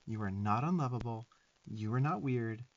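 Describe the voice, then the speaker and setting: A man's voice: mid-range voice, lively intonation, normal speed, muffled recording, close to an everyday voice. A man voicing a sarcastic, bossy but affectionate little demon twink in a profane, emphatic ASMR roleplay. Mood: fierce affection. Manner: sassy, scolding, profane, emphatic.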